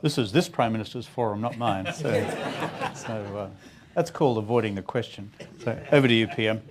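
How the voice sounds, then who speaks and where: A man's voice: lowish voice, fast, noisy background. A man moderating a forum in a casual, wry, informal and joking way.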